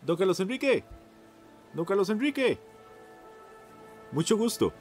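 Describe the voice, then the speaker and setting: A man's voice: sits high, very animated, measured pace, faint music behind. A man acting in radio theatre as a courteous, enthusiastic 1950s radio journalist, with a Costa Rican accent and a formal, announcer-like manner. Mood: eager, hailing. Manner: formal, effusively polite, announcer-like.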